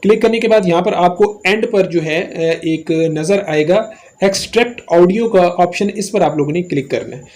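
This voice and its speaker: Male tutorial host, Pakistani accent, high-pitched voice, walking viewers through app steps. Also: moderate pace, darkish tone. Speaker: host of a tech tutorial channel. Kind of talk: scripted-style phone app tutorial narration.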